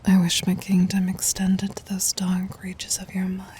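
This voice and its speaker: Casting: female actor as adoring demon queen for romantic ASMR audio, soft whisper, measured and slow, even delivery, regal and gently commanding. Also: mid-range voice, a little hiss or hum, close to an everyday voice. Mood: tender, concerned. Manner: tender, formal, regal phrasing.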